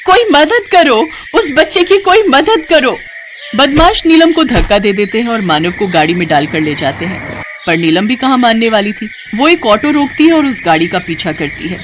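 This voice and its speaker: Story narrator: woman, medium pitch, scripted storytelling delivery, faint background noise. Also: very animated, normal speed.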